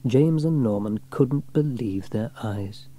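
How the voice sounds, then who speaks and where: A man narrating a scripted children's story in an expressive storytelling manner. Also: mid-range voice, slow pace. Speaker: audiobook narrator.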